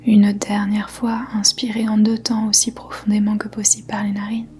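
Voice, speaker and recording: A woman guiding a yoga nidra meditation in a soft, breathy, whispery voice, calmly and in measured short phrases with gentle pauses. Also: mid-range voice. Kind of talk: guided meditation, slow soothing instruction. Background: faint music.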